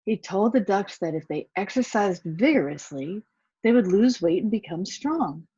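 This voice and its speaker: A woman's voice: mid-range voice, expressive, normal speed, dark tone, breathy edge, lo-fi sound. A woman reading a children's picture book aloud, with asides to the young listeners. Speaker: volunteer story reader.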